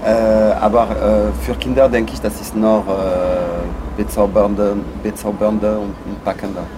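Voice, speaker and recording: A man in his thirties answering casually and haltingly, searching for his words. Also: on the low side, steady tone. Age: 30s. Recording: noisy ambience, vehicle-like hum.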